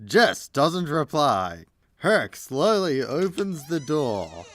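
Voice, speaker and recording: Casting: male storyteller for scripted audio drama, slow and expressive, over music. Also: on the high side, no room sound, studio quality. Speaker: narrator. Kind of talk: scripted radio play narration. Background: music behind the voice.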